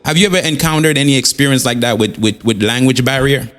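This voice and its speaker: A man's voice: medium pitch, fast, fairly bright tone. Man with a reverb-laden voice. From the Caribbean. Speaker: podcast host. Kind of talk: casual interview chat.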